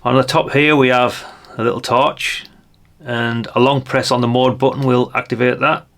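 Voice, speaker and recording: A man's voice: medium pitch, level tone, moderate pace, gravelly, fairly bright tone. An older man chatting in a rambling way, thinking aloud through a casual hands-on product review. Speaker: radio hobbyist reviewer.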